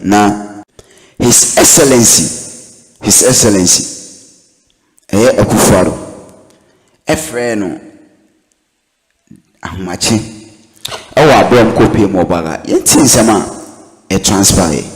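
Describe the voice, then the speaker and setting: Male Ghanaian teaser announcer, deep-leaning voice, slow halting delivery, long echoing tail. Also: animated. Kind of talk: dramatic teaser headline. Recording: long booming hall reverb.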